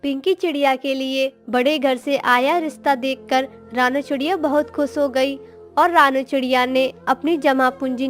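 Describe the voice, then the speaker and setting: A woman narrator reading a scripted moral story for a cartoon, in storytelling style. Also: high voice.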